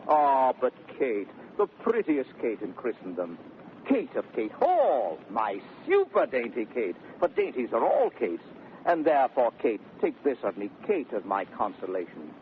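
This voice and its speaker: An actor playing a brash, teasing gentleman suitor in 1930s radio Shakespeare, declaiming with mock sweetness. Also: mid-range voice, huge pitch swings, a little slow. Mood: teasing, wooing. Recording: tinny, noisy 1930s radio recording.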